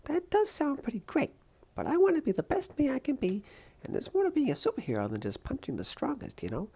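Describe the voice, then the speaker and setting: A woman's voice: sits high, wildly swooping pitch, quick pace, warm tone, breathy edge, heavily acted. A woman voicing an upbeat young superhero college student in a comic dub, perky, chatty and emphatic. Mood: earnest, insistent. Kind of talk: comic book dub voice acting.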